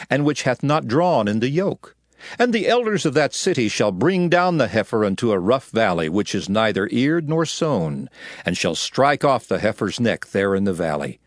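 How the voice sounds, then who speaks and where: A man's voice: fairly low pitch, dry recording, clean studio sound. A man narrating scripture in a solemn, formal manner as part of a dramatized reading.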